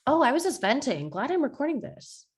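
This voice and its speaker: A woman's voice: high-pitched, big pitch swings, a little fast. A woman playing a young, sardonic stand-up comedian, with dry, punchy delivery in a table read. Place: video call from home.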